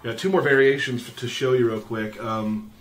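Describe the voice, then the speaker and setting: A man's voice: medium pitch, fairly even intonation, moderate pace, a bit bright, recorded from a distance, faint music behind. A man hosting a casual game demo, explaining things in a conversational way. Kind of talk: casual gameplay presentation.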